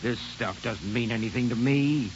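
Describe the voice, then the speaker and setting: A man's voice: medium pitch, normal speed, natural voice. An actor playing an indulgent, fair-minded middle-aged father in an old-time radio drama, folksy and good-natured. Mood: firm, defensive. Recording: hissy, dull vintage radio recording.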